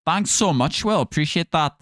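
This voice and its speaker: A man's voice: on the high side, lively intonation, a bit bright, dry recording, studio quality. A Scottish man chatting live on his stream, rattling off thanks to viewers and cracking jokes. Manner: chatty, thanks viewers rapid-fire, jokey. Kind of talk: casual live-stream chat.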